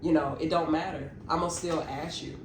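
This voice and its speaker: A woman's voice: low voice, fairly even intonation, normal speed, echoey room, noisy background. A woman, co-hosting a podcast and earnestly reasoning through her point. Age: 30s. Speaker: podcast co-host. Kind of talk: casual podcast chat.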